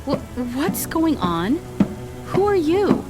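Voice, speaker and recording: A woman's voice: high-pitched, very animated, slow, over music, exaggerated voice. A woman playing a worried, uncertain mother in an audio drama, speaking tentatively. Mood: confused, uneasy.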